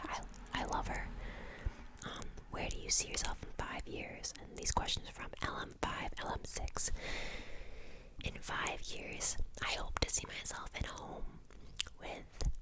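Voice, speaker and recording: A woman in her twenties from the United States, whispering an ASMR question-and-answer session in a chatty way with lots of "um" and "like". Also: rough recording. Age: 20s. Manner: soft unvoiced whisper, chatty with many fillers. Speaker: ASMR channel host.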